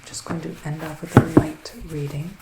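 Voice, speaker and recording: A man speaking in a hushed, half-whispered voice with pauses between phrases, playing a soothing, mystical forest witch in an ASMR tarot reading. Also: on the high side, little pitch movement. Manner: unhurried, pausing between short phrases. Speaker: ASMR roleplay performer. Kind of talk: ASMR psychic reading roleplay. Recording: roomy echo, faint background noise.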